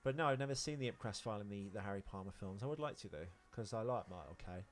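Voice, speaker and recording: A man's voice: lowish voice, lively intonation, fast, slight background noise. A man co-hosting a podcast, chatting casually about films and celebrities in a rambling, sweary way. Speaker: podcast co-host.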